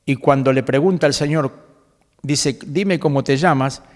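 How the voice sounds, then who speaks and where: A man in his seventies with an Argentine accent, a pastor guest who explains scripture in a conversational preaching way, quoting verses and filling pauses with "este". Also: medium pitch, big-room sound. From Galicia, Spain. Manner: quotes scripture at length, fills pauses with "este".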